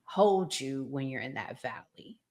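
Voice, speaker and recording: American lady podcast host, pretty low voice, slow and reflective, casually asking questions. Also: expressive, a little mellow. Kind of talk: casual discussion, posing questions.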